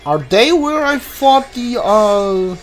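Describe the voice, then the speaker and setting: Animated man doing casual game commentary. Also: very high-pitched, takes it slowly. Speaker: gamer streaming a blind playthrough. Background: game music.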